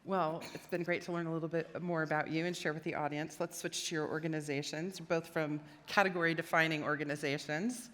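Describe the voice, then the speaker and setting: A woman's voice: sits low, steady tone, brisk, hardly any pauses, fairly bright tone. A woman from Kenya hosting a panel interview in a conversational way. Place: conference stage in a large hall. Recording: reverberant hall, stage event.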